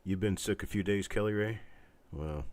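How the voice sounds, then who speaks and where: A man hosting a casual live online auction stream, chatting in a rambling, halting, folksy way with a scratchy, stuffed-up voice weakened by illness. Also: lowish voice, darkish tone. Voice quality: congested, stuffed-up nose. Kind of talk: casual livestream chat with viewers.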